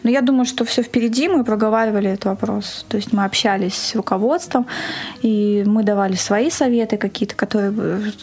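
A woman's voice: mid-range voice, fairly quick, a little mellow, slightly rough. A woman speaking as a talkative guest in a conversational radio interview, explaining things in detail.

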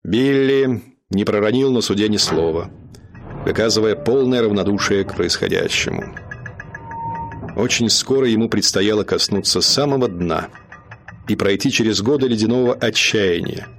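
A man narrating a radio drama in a measured, dramatic storytelling manner. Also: fairly low pitch, noisy background.

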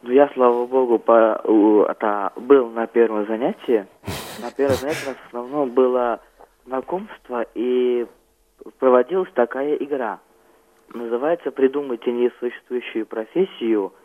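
Halting teenage guy, slightly dull sound. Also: medium pitch. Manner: halting, hesitant, searching for words. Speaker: student guest on a radio programme. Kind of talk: interview answers, recounting experiences.

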